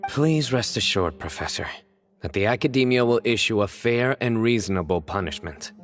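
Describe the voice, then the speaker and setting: An actor playing a stern, dry official who speaks bluntly, in a game scene with faint music. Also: male voice, fairly low pitch, animated, normal speed, natural voice.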